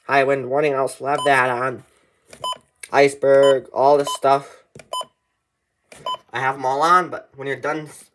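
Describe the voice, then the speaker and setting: A man's voice: a little high, a bit bright, a little hiss or hum. A man giving informal step-by-step instructions in a plain, repetitive explaining manner. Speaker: tutorial presenter. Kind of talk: informal step-by-step how-to narration.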